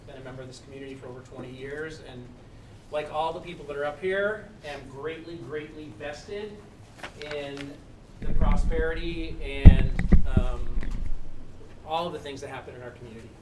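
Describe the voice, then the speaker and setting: A man's voice: a little high, normal speed, recorded from a distance, heavy background noise. A middle-aged man presenting at a school committee public meeting, earnest and thankful, with some restarts. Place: school building meeting room.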